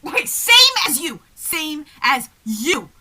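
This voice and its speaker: A woman playing a flustered, exasperated comic man in an old-time radio comedy double act, with frantic, excitable delivery. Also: high-pitched, full character voice. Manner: frantic, excitable, fast back-and-forth delivery.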